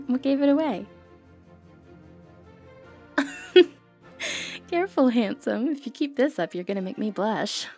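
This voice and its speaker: Woman performing a confident noble-born lady thief, fantasy ASMR roleplay, highish voice, playful, genteel, flirtatious, music underneath. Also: lively intonation, slow pace, pauses often, darkish tone. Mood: warm, confiding. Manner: playful, teasing, genteel old-fashioned phrasing.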